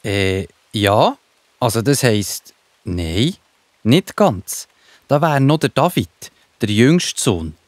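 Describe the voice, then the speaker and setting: A man with a Swiss accent playing a proud, excitable father of many sons in a children's audio drama, theatrical and exclamatory. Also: mid-range voice, takes it slowly, no room sound. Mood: hesitant.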